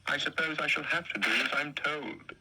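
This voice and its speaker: A man's voice: fairly high pitch, moderate pace, sharp tone, breathy edge, exaggerated voice. A man doing Parsley, a fussy fretful lion. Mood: grumbling. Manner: fretful, grumbling. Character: fussy anxious male lion, easily flustered. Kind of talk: storybook reading.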